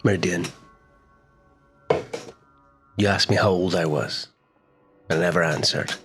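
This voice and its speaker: Man, tabletop roleplay player, low voice, casual character dialogue and narration over soft background music. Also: fairly even intonation, moderate pace.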